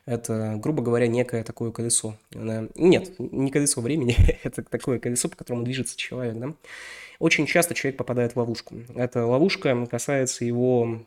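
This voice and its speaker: Young male podcast host, a bit sick, fast and loose, full of fillers and restarts. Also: mid-range voice, fairly even intonation. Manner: rambling, many fillers and self-corrections. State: slightly ill. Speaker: podcast host reviewing a novel. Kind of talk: casual spoken book review.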